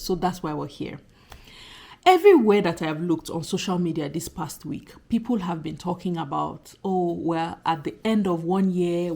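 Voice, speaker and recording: A woman in her thirties talks to her subscribers in a casual, heartfelt vlog. Her voice sits a little low and sounds slightly husky and croaky, as if she is just getting over a cold. She leans on emphatic repetition and keeps the tone earnest and chatty. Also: dark tone. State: recovering from a cold. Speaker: YouTuber talking to subscribers. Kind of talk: casual reflective vlog monologue.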